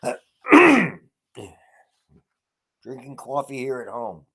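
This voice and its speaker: A man giving a physiology lecture from home, congested and often clearing his throat, with halting, restarting phrasing. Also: medium pitch, huge pitch swings, a bit bright. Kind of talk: unscripted lecture.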